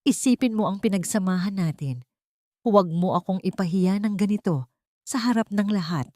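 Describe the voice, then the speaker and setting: A woman's voice: mid-range voice, normal speed, dark tone, dry recording, clean studio sound, close to an everyday voice. A woman with a Filipino accent voicing a desperate, disgraced businessman husband who pleads with his wife in an audio storybook drama. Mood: begging.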